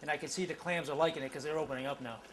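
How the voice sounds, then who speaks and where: Middle-aged male cooking host, keen and chatty, slightly high voice, noisy kitchen sound. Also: fairly even intonation, very fast. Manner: enthusiastic, talks while cooking, many fillers. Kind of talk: home cooking demonstration. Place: home kitchen.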